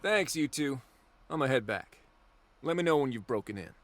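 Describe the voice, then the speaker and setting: A man's voice: medium pitch, lively intonation, normal speed, lightly acted. An actor playing a folksy, blunt and exasperated lawman in a video game. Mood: guarded, resigned.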